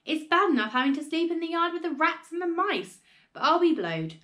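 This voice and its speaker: Woman acting a bossy, shouting old grandma. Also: mid-range voice, lively intonation, moderate pace, natural voice. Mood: indignant, demanding. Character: domineering, shrill, selfish old grandma, a giant hag.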